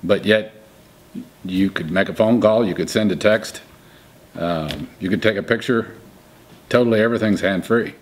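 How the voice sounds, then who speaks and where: A man's voice: fairly low pitch, moderate pace, long pauses. A man in his fifties, a farmer and crop insurance agent from Missouri, thinking aloud in a casual indoor interview. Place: indoor room with curtains.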